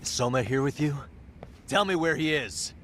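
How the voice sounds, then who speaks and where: A man playing a cool, polite investigator in a video game, speaking in a measured, questioning way. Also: a little high, animated, a bit bright, slight background noise. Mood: urgent. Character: cool, polite male investigator, composed and probing. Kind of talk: video game cutscene acting.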